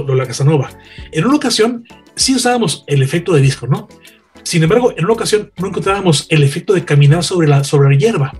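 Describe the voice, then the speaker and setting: A man's voice: on the high side, a little mellow, breathy edge. A man, a radio broadcaster telling enthusiastic anecdotes in a casual panel chat.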